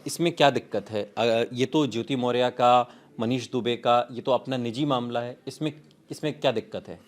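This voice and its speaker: Male news interviewer, average pitch, probing questions, conversational, repeats phrases. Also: normal speed. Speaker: news host interviewing a reporter.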